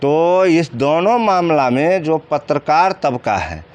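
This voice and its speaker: A man's voice: fairly high pitch, animated, slow, a little mellow. A man with a Bihari accent giving informal commentary in an interview, explaining colloquially and often answering his own questions. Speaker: commentator interviewed about crime cases.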